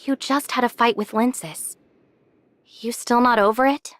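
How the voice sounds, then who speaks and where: A woman's voice: on the high side, fairly even intonation, normal speed, no echo, natural voice. An actress playing a tactful, guarded young woman in an anime game, speaking expressively and diplomatically. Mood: concerned. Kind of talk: English anime game voice acting.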